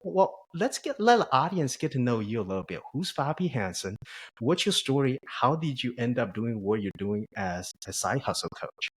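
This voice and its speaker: A man's voice: mid-range voice, big pitch swings, normal speed, slightly rough. A man hosting an interview, introducing his guest in admiring, formal words and asking her open questions. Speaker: interview host. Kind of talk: conversational podcast interview.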